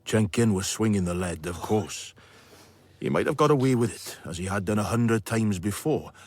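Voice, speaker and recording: A worldly radio play narrator, low raspy voice, sardonic, speechifying. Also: male voice, expressive, moderate pace, a little mellow, dry recording. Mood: wry. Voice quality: husky. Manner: sardonic, rhetorical. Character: wry, worldly, philosophising male storyteller. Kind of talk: dramatic scripted narration for radio drama.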